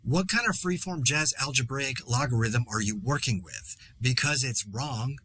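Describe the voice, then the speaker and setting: A man's voice: medium pitch, normal speed, bright, forceful tone, a little hiss or hum, natural voice. A man playing an exasperated podcaster in a zombie outbreak, delivering a dry, sarcastic, rambling solo monologue in a conversational way. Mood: exasperated, ranting. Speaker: podcast host.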